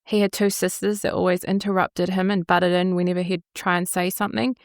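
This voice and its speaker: A woman hosting a podcast, chatty and quick to agree in casual conversation. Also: on the low side, level tone, dry recording, clean studio sound. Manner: chatty, frequent affirming interjections. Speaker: podcast host.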